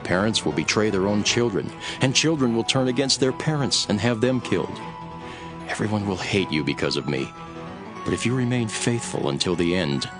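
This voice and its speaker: A grave male teacher in a scripture audio drama. His voice is low and he speaks in measured, solemn declarations with calm authority. Faint music runs behind him. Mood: stern, grave.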